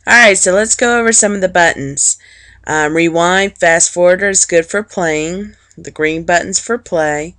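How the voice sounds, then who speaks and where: A woman teacher narrating an informal, plain-spoken software walkthrough step by step, explaining things for students. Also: on the low side, a little slow, dry recording. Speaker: teacher giving a software tutorial.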